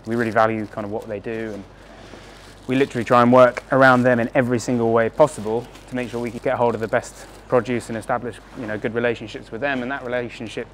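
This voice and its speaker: A man's voice: medium pitch, level tone, fast talker. Produce guy explaining how it all works, very level voice, bit of noise behind. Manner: earnest, explains step by step with fillers. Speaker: produce supplier. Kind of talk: explanatory interview.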